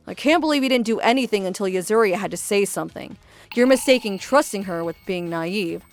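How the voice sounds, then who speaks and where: A woman's voice: mid-range voice, animated, brisk, lightly acted. A woman voicing a firm, sensible young woman who scolds a friend and narrates a dubbed manga drama. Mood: stern. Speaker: narrator and voice actor in a manga dub. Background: faint background music.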